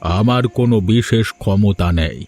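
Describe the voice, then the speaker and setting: A man's voice: on the low side, moderate pace, a little mellow, faint music behind, lightly acted. A man voicing a stern but kindly hermit holy man in a cartoon. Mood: grave, kindly. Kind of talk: Bengali moral-tale cartoon dubbing.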